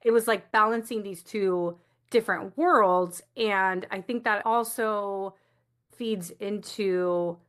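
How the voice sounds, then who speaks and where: A woman's voice: mid-range voice, takes it slowly, fairly bright tone, clear, resonant tone. Reflective woman explaining in conversation. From United States. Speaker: guest, coach for first-generation community. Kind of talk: conversational interview answers.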